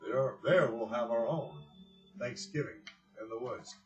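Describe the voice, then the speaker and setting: A man's voice: medium pitch, unhurried, highs cut off. Man reading to children over music. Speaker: children's story reader and host. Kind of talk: storybook reading aloud to children.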